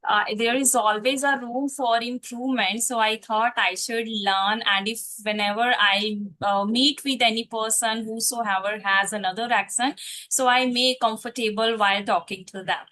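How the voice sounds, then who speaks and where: A woman's voice: on the high side, steady tone, moderate pace, bright, forceful tone. A woman from Punjab with an Indian English accent, hosting a video call interview in a polite, formal and careful manner. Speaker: host, spoken English teacher.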